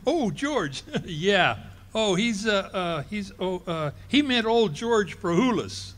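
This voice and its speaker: An amateur actor playing a bumbling, boastful husband in a live radio play, stammering and folksy. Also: male voice, high voice, animated, slow, a bit bright, heavily acted. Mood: flustered. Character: bumbling boastful husband, middle-aged, fibbing. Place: ballroom stage before an audience.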